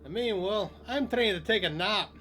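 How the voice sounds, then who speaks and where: A man's voice: high-pitched, moderate pace, fairly bright tone. A man doing a grumpy fairy king. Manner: grumbling, storytelling aside. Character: fussy fairy king woken from his nap.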